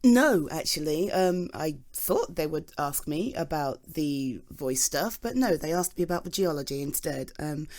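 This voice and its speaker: Woman acting as a british geology nerd girl, lowish voice, rambly and giggly, explaining stuff to someone close, roleplay audio. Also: lively intonation, unhurried, few pauses, a little mellow. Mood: bemused. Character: chatty, self-deprecating geology nerd woman, fond and flustered. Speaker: ASMR roleplay voice performer. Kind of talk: intimate conversational audio roleplay.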